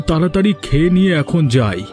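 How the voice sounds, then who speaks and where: A man's voice: fairly high pitch, big pitch swings, fast talker, a little mellow. A man voicing a puzzled village fish farmer in a cartoon, earnest and exclaiming. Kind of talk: dubbed cartoon dialogue. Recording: music in the background.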